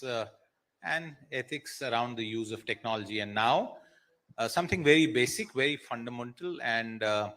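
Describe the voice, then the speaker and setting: A man with an Indian accent moderating a live panel, speaking haltingly and putting questions to the room. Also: medium pitch, sharp tone. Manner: halting, addresses audience with questions. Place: live conference stage.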